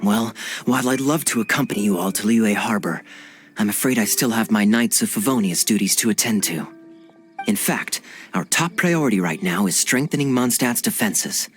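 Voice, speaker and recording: An actor playing a suave, courteous cavalry captain in a video game cutscene. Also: male voice, fairly low pitch, lively intonation, moderate pace, a bit bright, soft background music. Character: suave charming knight captain man, smooth and teasing.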